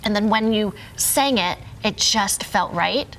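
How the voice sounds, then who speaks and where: A woman's voice: medium pitch, measured pace, a bit bright, slight background noise, poor audio. A woman co-hosting a morning TV show, asking her guests upbeat, conversational questions in a studio. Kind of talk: live TV interview.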